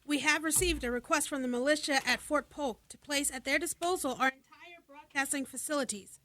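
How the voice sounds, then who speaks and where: A solemn company executive voiced by a woman makes a formal radio statement in a drama. The voice is high, bright and forceful, in a dry recording. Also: brisk, dry recording. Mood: solemn, official. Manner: formal, read-out delivery. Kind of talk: radio play acting, official statement.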